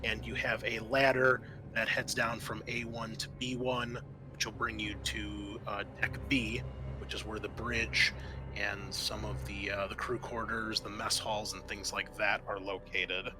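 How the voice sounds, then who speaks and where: A man's voice: on the low side, little pitch movement, a little slow, music in the background. A man acting as game master, explaining a scenario and thinking aloud as he recalls the rules.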